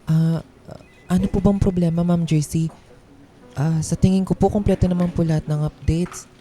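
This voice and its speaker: A woman's voice: fairly low pitch, fairly even intonation, moderate pace, warm tone, faint music behind, lightly acted. A woman acting as an earnest, put-upon office employee, polite and pleading, with a Filipino accent in a radio drama. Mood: defensive, pleading. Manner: deferential, pleading.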